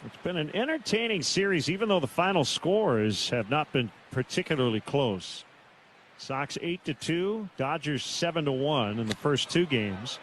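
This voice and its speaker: A man doing live baseball play-by-play on the radio in measured, terse calls, with pauses between them. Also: on the high side, animated, fairly bright tone, a little hiss or hum, rough recording. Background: outdoor ballpark ambience.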